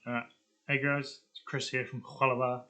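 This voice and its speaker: A man's voice: medium pitch, little pitch movement, normal speed, sharp tone. A man hosting a video in a casual, rambling chat, thinking aloud as he goes. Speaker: video host.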